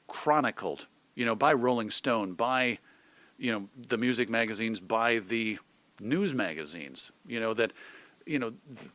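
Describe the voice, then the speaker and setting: Wry middle-aged man, bright voice. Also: mid-range voice, no room sound. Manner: wry, self-deprecating humor. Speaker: radio show host being interviewed. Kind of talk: conversational interview, reflective.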